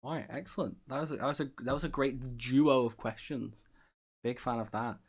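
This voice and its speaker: Podcast host, Scouse man, mid-pitched, chatty and rambling, self-mocking. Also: fairly quick. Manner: rambling, self-deprecating, lots of fillers. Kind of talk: casual chat.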